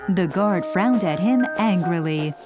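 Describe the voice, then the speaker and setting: A woman narrating an animated children's storybook in a gentle, storytelling way. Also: fairly low pitch, a little slow. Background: music.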